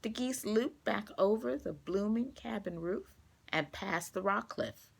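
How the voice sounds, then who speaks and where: A woman reading a children's storybook aloud, expressive and carefully paced for young listeners. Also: mid-range voice, unhurried, creaky, husky.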